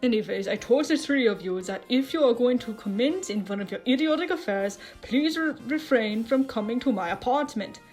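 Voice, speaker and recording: A woman's voice: fairly high pitch, expressive, moderate pace, few pauses, darkish tone, faint music behind, close to an everyday voice. A woman reading the part of a stern, easily exasperated young man who scolds bluntly and stammers when flustered in an audio story. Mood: irritated scolding.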